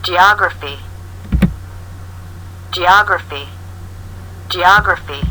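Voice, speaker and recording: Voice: mid-range voice, very slow, bright, forceful tone, a little hiss or hum, poor audio. A textbook audio narrator reading school subjects aloud, saying each word three times in a listen-and-repeat drill.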